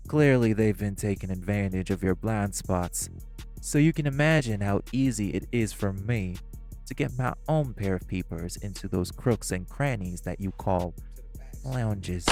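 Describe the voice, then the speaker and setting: A man voicing hostile crime bosses in an audio roleplay drama, profane and confrontational, with soft music behind. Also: on the low side. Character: hostile male crime bosses, magic gangsters.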